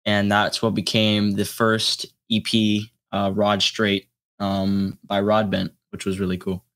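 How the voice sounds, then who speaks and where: A young adult man chatting casually as an interview guest, with a halting, rambling delivery. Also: fairly low pitch, completely flat delivery, a bit bright. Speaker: guest, solo electronic musician.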